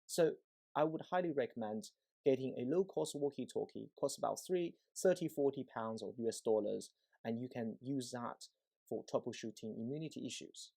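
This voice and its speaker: A man's voice: mid-range voice, normal speed, gravelly, mellow. A man explains a technical demonstration informally, repeating words for emphasis and often checking in with a short questioning tag. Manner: checks understanding with frequent "right?" and "okay?", repeats words for emphasis. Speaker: presenter, EMC engineer.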